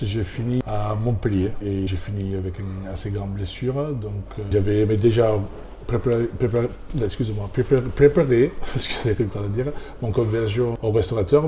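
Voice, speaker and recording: An Australian man, a former rugby player turned restaurant owner, answers interview questions in careful, halting French. His voice is low and dark, and he often stops to restart a phrase. A faint hum of ambient noise sits behind him.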